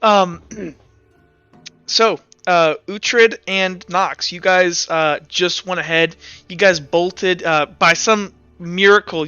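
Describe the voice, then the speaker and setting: Bright, crisp-voiced man as game master. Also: high voice, no echo, muffled recording. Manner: halting, informal. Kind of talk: narrating a tabletop roleplay game.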